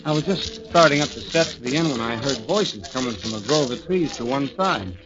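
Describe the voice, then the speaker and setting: A man's voice: medium pitch, expressive, fairly quick, close to an everyday voice. An American actor playing a haunted, plain-spoken night garage man telling his story in a 1940s radio thriller. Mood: reflective. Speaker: actor narrating and playing the lead. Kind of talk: 1940s radio thriller acting, first-person narration. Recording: dull 1940s radio recording, loud music behind.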